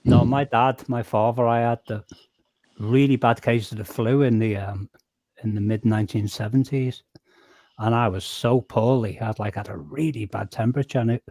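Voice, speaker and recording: Chatty english guy hosting a voice chat, warm dark tone, dry close sound. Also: mid-range voice, steady tone, normal speed, dry recording. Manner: chatty storyteller, laughs often. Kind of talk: casual group chat.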